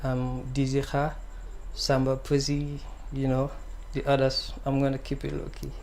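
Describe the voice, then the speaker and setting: A young man from the Gambia, a singer-songwriter, answering interview questions softly and hesitantly, with many pauses and ums. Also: medium pitch, monotone, vocal fry, slight background noise.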